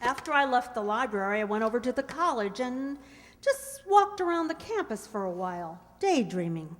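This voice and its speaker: In a reverberant hall, a woman acts out a scheming, gritty waitress in a radio mystery. Her delivery is slangy and emphatic, swinging widely in pitch. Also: animated, normal speed, natural voice. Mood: bitter, determined. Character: brassy scheming waitress, discarded mistress with grit. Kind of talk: radio mystery drama acting. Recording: reverberant hall stage recording.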